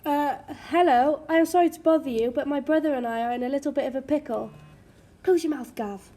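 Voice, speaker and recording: A woman's voice: high voice, fairly quick, warm tone, close to an everyday voice. A woman playing a sensible, bossy young sister in an amateur radio drama. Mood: polite, apologetic.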